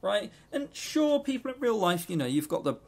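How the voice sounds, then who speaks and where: A man's voice: very animated, normal speed, a little mellow. A man co-hosting a podcast, giving an unscripted, exasperated, sweary review with emphatic delivery. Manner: mimics characters in high exaggerated voices. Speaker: podcast co-host reviewing visual novels.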